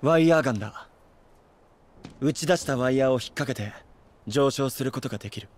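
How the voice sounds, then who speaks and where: A man's voice: mid-range voice, brisk, close to an everyday voice. An actor playing a cool, guarded young man in a Japanese video game cutscene, terse and matter-of-fact. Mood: cool, instructive. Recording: music behind the dialogue.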